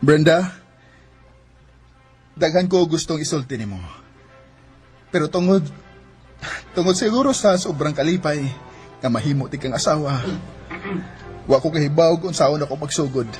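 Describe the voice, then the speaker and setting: A man's voice: on the high side, normal speed, long pauses, darkish tone, soft background music, lightly acted. A man with a Cebuano accent playing a loving, sentimental young husband in a radio drama. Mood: adoring, emotional. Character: loving, earnest young husband, devoted to his wife. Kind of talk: Philippine radio drama acting.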